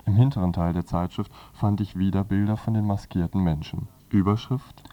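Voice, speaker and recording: A man's voice: low voice, steady tone, normal speed, mellow, lo-fi sound. A man presenting a scripted magazine review on the radio as narrator.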